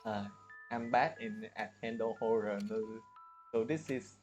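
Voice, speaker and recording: A man's voice: on the low side, steady tone, measured pace, a little mellow. A man livestreaming a video game, giving casual commentary as he plays. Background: game music.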